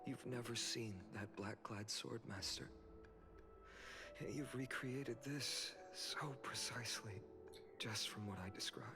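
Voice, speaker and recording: An actor playing an earnest young male hero in a fantasy video game, speaking in a measured, resolute, formal way. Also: mid-range voice, music in the background, close to an everyday voice. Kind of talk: scripted video game dialogue acting.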